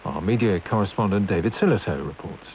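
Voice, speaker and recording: Low-voiced male newsreader on dull radio. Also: lively intonation, a little fast. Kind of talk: scripted news reading. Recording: dull long-wave radio with faint hiss.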